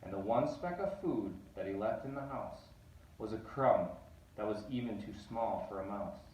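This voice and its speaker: A man reading a picture book aloud, animated and rising on repeated words. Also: lowish voice, moderate pace. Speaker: reader. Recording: roomy echo, distant mic.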